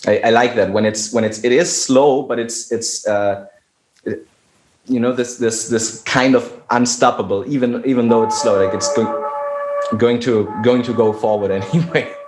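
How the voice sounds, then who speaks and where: A man's voice: mid-range voice, fairly even intonation, moderate pace, darkish tone. A man whose native language is German, a musician guest talking hesitantly with many restarts in casual conversation. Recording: roomy echo.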